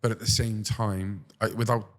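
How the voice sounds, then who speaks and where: A man's voice: fairly low pitch, little pitch movement, moderate pace, fairly bright tone. A man hosting an interview, talking in a rambling, chatty way that is full of fillers and restarts. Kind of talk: casual interview chat.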